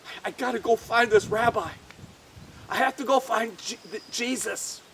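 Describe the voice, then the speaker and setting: A woman's voice: fairly high pitch, normal speed, a little hiss or hum, close to an everyday voice. A woman voicing a desperate pleading father. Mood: worried. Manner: stammering, urgent, rising to loud pleading. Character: desperate middle-aged father, synagogue leader, pleading. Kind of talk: children's Bible storytelling.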